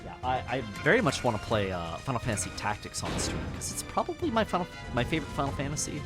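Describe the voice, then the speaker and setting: A man's voice: medium pitch, huge pitch swings, fast. A man streaming a video game, chatting casually and swearing freely as he talks about it. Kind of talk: casual let's-play commentary. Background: game music.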